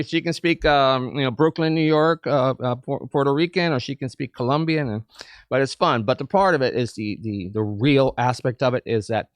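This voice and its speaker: A man's voice: mid-range voice, no room sound. A man from Cuba, a chamber of commerce president, talking eagerly as a guest in a studio interview and often repeating words. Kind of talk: casual interview chat.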